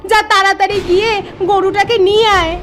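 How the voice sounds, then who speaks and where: A woman's voice: very high-pitched, steady tone, fairly quick, music in the background. A woman voicing a scolding, melodramatic village mother in a cartoon. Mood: nagging. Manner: nagging, melodramatic. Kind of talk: Bengali cartoon voice acting.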